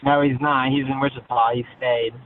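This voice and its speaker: A man calling on a thin phone line, talking in a halting, hesitant, evasive way. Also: mid-range voice, level tone. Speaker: caller.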